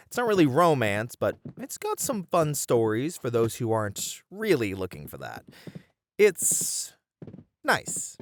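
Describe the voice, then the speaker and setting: A man's voice: medium pitch, natural voice. A man voicing a friendly, bashful bunny man who chats helpfully to the listener in an ASMR roleplay. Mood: enthusiastic, playful. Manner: chatty, helpful, easily flustered, breaking into chuckles and hesitations. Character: friendly, bashful bunny man, bookish manga cafe regular. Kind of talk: ASMR roleplay, one-sided conversation with the listener.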